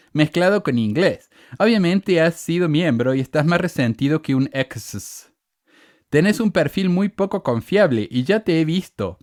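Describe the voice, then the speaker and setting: A man's voice: a little mellow, dry recording, studio quality. A middle-aged man with a Cordoba Argentine accent, hosting a podcast and reading out hostile comments with sarcastic indignation. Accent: Cordoba Argentine Spanish. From Córdoba, Argentina. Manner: indignant, sarcastic, rants with rising pitch. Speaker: podcast host.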